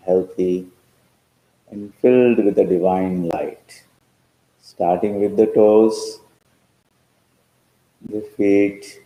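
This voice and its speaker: A man leading a guided meditation as a teacher, speaking calmly in measured short phrases with pauses. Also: sits low. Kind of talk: slow guided meditation instruction. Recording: roomy, echoing sound.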